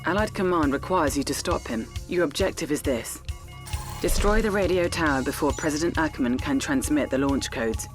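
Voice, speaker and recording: A woman voicing a game's mission announcer, giving flat, clipped briefings. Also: low-pitched, moderate pace, over music, lightly acted. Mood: terse.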